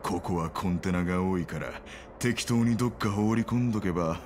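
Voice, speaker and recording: A man's voice: low voice, brisk, noisy background, close to an everyday voice. A man voicing a hostile, callous villain's henchman in a Japanese video game. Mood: cold menace.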